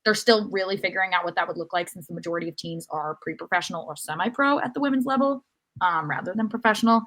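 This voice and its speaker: A woman's voice: lowish voice, fast talker, fairly bright tone. Enthusiastic woman hosting a sports podcast. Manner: enthusiastic, chatty. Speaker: podcast host. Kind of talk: casual sports podcast chat.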